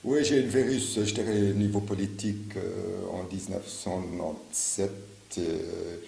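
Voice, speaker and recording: A man in his fifties from Morocco answers campaign questions, pausing often and restarting his sentences. His voice is low and gravelly with a mellow tone. The recording sits in an echoey room with faint ambience. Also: steady tone, a little hiss or hum. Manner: hesitant, many fillers and restarts. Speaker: local election candidate. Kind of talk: campaign interview.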